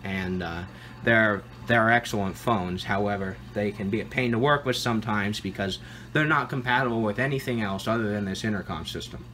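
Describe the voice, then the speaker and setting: A man's voice: fairly low pitch, fairly quick, bright, forceful tone, slight background noise. A man giving an informal, matter-of-fact demonstration of equipment as a video host, recorded indoors at home.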